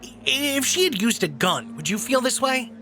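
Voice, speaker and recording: A man's voice: extremely high pitch, big pitch swings, normal speed, over music, heavily acted. A man playing an earnest, principled social worker in an audio drama, arguing his case. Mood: pleading, defensive. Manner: earnest, pleading.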